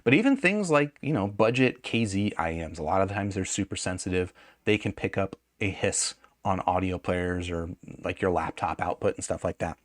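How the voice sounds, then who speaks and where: A man's voice: low voice, expressive, moderate pace, no echo, clean studio sound. A man hosting an audio gear review in a casual, unscripted, conversational way, often hedging and correcting himself mid-sentence.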